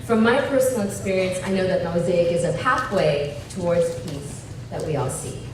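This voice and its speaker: A woman from Tanzania, speaking at a fundraising brunch, shifts from animated joking banter to earnest, reflective personal storytelling. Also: lowish voice, moderate pace. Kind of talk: personal story told onstage. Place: event hall at a brunch. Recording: echoey hall, far mic, ambient noise.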